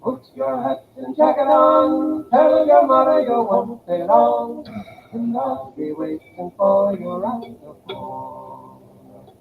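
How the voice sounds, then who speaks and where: A man singing a slow love song. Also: extremely high pitch, very animated, gravelly, a little hiss or hum. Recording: phone-like, echoey old song recording.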